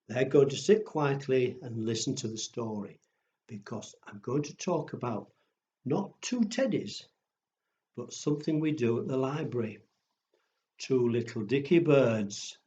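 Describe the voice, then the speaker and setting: Slow, deliberate man telling stories to children. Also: mid-range voice, warm tone, lo-fi sound. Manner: slow, deliberate, addressing small children with questions. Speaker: children's storyteller. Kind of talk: storytime for pre-school children, simple narration and rhymes.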